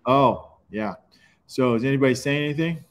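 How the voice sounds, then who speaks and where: A man's voice: mid-range voice, normal speed. Laid-back man hosting a live webinar. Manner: loose and upbeat, calls people dude. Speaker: webinar host. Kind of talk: casual live-stream chat. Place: indoor auto repair shop.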